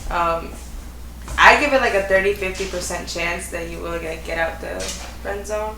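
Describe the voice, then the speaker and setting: A woman's voice: fairly low pitch, normal speed, creaky, bright, forceful tone. A woman of twenty, a podcast guest, talking in casual slang and explaining at length. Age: 20s. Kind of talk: casual chat. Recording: echoey room, distant mic, noise.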